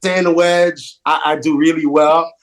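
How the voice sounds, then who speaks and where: A man with a Haitian accent tinged by New York, hosting a relaxed interview. His voice sits a touch high for a man, and he talks in an animated, self-deprecating way, often repeating his phrases. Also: unhurried, over a noisy crowd. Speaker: podcast host. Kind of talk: casual interview chat.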